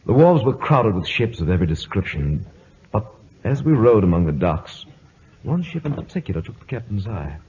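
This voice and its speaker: An actor playing a loyal, earnest British naval lieutenant, formal in speech, in old-time radio drama style. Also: male voice, lowish voice, very animated, moderate pace, a little mellow. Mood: earnest narration. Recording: muffled old radio broadcast.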